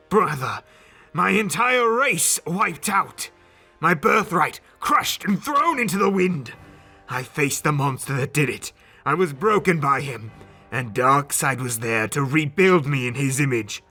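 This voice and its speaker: A proud alien warrior prince in a dramatic audio drama, defiant and bitter. The voice is pitched fairly high, tense and sharp, with arrogant, declamatory delivery that swings widely. Faint music sits underneath. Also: male voice, lively intonation, unhurried, heavily acted. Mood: bitter, broken.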